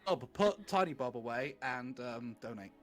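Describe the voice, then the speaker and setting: Man reading fiction aloud, lively pitch. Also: normal speed, faint music behind. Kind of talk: comic read-aloud and casual banter.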